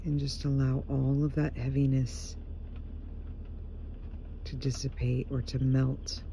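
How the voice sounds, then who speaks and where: Slow, gravelly, mellow man guiding meditation. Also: medium pitch, level tone. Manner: gentle, hushed, unhurried pauses between phrases. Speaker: meditation guide. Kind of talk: guided meditation, slow soothing narration. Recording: muffled, dull home recording with faint noise.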